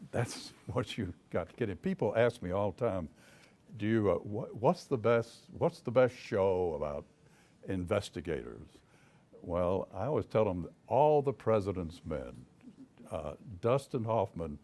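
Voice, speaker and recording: An older man with a deep voice and a Southern US accent, a private investigator telling folksy stories to an audience, chuckling as he goes. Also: mellow. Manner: folksy storyteller, chuckles often, many restarts. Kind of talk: casual talk to an audience.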